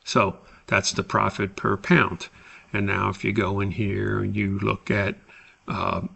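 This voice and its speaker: A man giving an unscripted, step-by-step tutorial as an instructor, explaining in a halting, methodical way. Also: on the low side, level tone, gravelly, sharp tone, slightly rough. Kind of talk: screen-recorded spreadsheet tutorial, unscripted explanation.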